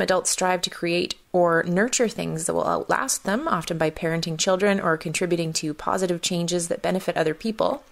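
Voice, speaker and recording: A woman hosting a community radio health show, reading a measured, explanatory script. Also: fairly low pitch, gravelly.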